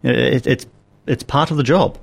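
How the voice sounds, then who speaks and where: Male co-host, mid-pitched and quick, casual, a bit repetitive as he thinks aloud. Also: vocal fry. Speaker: radio show co-host. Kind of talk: conversational commentary.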